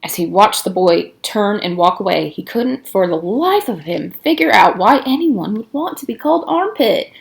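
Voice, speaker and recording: A woman reads a children's novel aloud as narrator. Her voice sits at a middle pitch, and she gives the story an expressive, emphatic delivery. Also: moderate pace, vocal fry, few pauses. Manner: acts out dialogue with emphasis.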